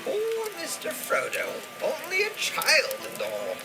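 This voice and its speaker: Casting: elderly rustic hobbit gardener, slow rambling gossip, hugely animated pitch, music underneath. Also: male voice, creaky, exaggerated voice. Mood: gossipy. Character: talkative old male hobbit gardener, folksy and opinionated. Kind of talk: dramatized audio play dialogue.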